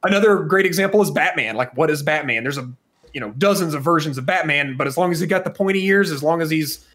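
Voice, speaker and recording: A man with a fairly high voice co-hosts a podcast. He speaks casually and likes to explain, building his points step by step and seeking agreement along the way. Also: fast talker, a bit bright. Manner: explanatory, punctuates points with "right?". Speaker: podcast co-host. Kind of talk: casual chat.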